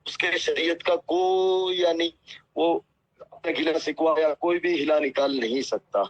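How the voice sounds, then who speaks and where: A man's voice: on the high side, steady tone, a little slow, dry recording. A man on a phone call, speaking humbly and earnestly, apologizing and asking at length for patience. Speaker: cleric answering a phone call. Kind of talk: phone conversation. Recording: muffled lo-fi phone call recording.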